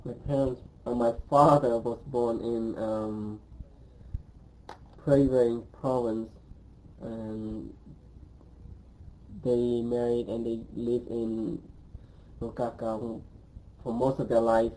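Slow, halting man. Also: mid-range voice, mellow, slight background noise, low-quality recording. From Cambodia. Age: 20s. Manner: hesitant, pauses often with um. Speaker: interviewee. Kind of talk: oral history interview.

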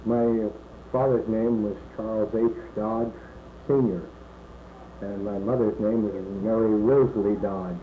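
A man in his sixties from California, recalling his early life in an oral history interview and pausing often with "uh". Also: on the low side, fairly even intonation. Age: 60s. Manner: halting, many "uh" pauses. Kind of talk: slow reminiscing answers. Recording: echoey room, muffled phone-like tape with faint hiss.

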